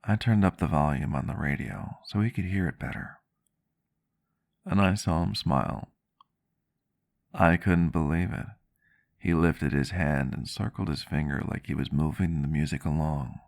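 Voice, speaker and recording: A man plays a reflective male vampire doctor in an ASMR roleplay. His voice sits very low, with a heavy fry running through it. He tells his story gently, in measured and slightly antique phrasing. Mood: reminiscing. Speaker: roleplay character telling a story.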